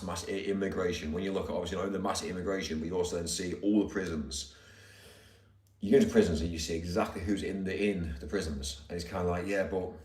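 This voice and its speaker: A man with a deep voice, ranting in rambling, indignant casual chat as a live streamer. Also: a little mellow. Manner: rambling, indignant, repeats himself. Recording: roomy, distant mic.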